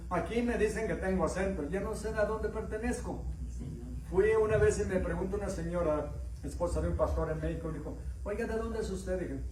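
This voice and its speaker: A man's voice: high-pitched, fairly quick, darkish tone, far from the mic, a little hiss or hum. A middle-aged Mexican man with a Mexican accent preaching a conversational sermon, telling stories and asking the congregation questions. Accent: Mexican, slightly mixed. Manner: storytelling, asks the congregation questions, acts out dialogue in raised voices. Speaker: pastor. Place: church sanctuary. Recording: echoey room, voice heard from afar.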